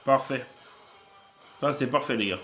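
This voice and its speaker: A man with a head cold talks his way through a mobile strategy game in a loose, rambling way, full of fillers and restarts. His voice is medium in pitch. The room is echoey, and faint game music plays behind him. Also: a little slow, fairly bright tone. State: has a cold. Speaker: gaming channel host. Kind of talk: casual gameplay commentary.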